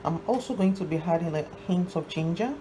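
A woman in her thirties chatting casually to her vlog audience in halting, unhurried phrases, recorded on a phone at home. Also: lowish voice, expressive. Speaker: vlogger. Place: small indoor rooms at home, kitchen. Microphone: phone at arm's length. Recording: noisy handheld phone recording with room ambience.

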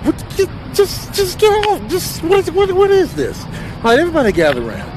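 A man plays a self-important dog activist who is fed up and indignant. The voice sits high and swings widely as he stammers and repeats himself. It is a comedic animal voiceover with a noisy background. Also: wildly swooping pitch, moderate pace, exaggerated voice. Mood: exasperated, indignant.

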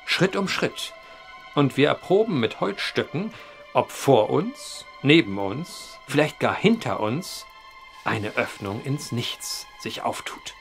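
A solemn, courteous man who investigates the uncanny in a gothic audio drama. His mid-pitched voice rises and falls vividly as he explains in formal, deliberate phrases. Soft music sits underneath. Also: big pitch swings, creaky, exaggerated voice. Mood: earnest, deliberate. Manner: formal, measured, old-fashioned phrasing. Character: earnest, methodical man investigating vanishings, polite storyteller. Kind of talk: period horror audio drama acting.

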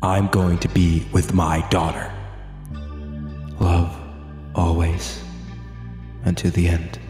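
A man playing a grieving, tormented father, reading out a letter in a solemn, deliberate and formal way. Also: low-pitched, flat delivery. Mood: grim resolve. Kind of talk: dramatic letter narration. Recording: echoey long reverb over music.

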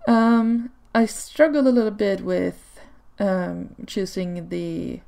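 A woman whose first language is Swedish gives relaxed, chatty commentary over gameplay footage. Her voice carries a strong vocal fry, and her pitch sits in a middle range. She fills her sentences with ums and little laughs. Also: slow pace. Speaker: video creator. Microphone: home desk microphone.

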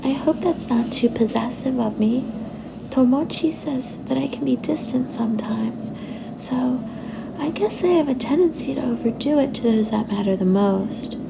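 Woman acting young girlfriend, affectionate, a bit possessive, soft whispery ASMR delivery, gravelly creak, noisy ambient recording. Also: high voice, lively intonation, normal speed. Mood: soft, confiding. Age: young adult. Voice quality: soft, often breathy and whispery.

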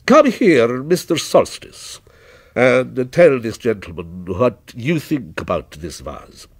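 A man playing a shrewd, courteous shop proprietor, swooping pitch. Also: slightly rough, no room sound, heavily acted. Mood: pressing, pleased. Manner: businesslike, flattering, deliberate salesmanship.

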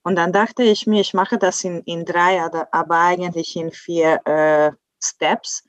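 A woman in her thirties, a native Hungarian speaker, talks slowly and haltingly, restarting phrases as she hunts for words. Her voice sits a little low. The earphone call audio is dry and dull, with no room around it. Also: fairly even intonation, dry recording. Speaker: translator, guest. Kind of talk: video call conversation. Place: indoors at home in front of a blue backdrop. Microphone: earphone headset on a video call.

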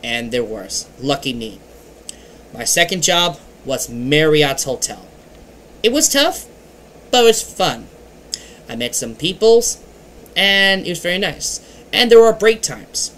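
A young man hosting his own channel, talking earnestly and haltingly straight to a webcam in a dim bedroom at home, his loudness rising and falling as he goes. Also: fairly high pitch, big pitch swings, a little hiss or hum. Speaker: channel host. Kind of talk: casual webcam vlog. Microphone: webcam at desk.

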